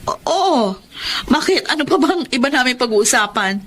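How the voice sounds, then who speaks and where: An actress playing a domineering, hot-tempered office manager with a Filipino accent in a Tagalog radio drama, imperious and scolding. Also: female voice, high-pitched, animated, normal speed, fairly bright tone. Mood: casual, defensive.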